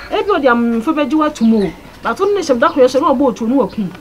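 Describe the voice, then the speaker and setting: A woman's voice: sits high, expressive, very fast. A woman with a Ghanaian accent playing an insistent talker in a drama dialogue. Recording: noisy ambient location sound.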